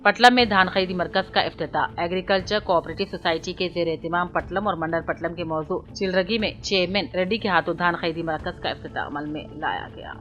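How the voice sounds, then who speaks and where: Low-pitched female news reader over music. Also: brisk, few pauses, a bit bright, highs cut off. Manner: formal, reading out items one after another. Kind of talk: scripted news bulletin narration in formal Urdu register. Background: background music.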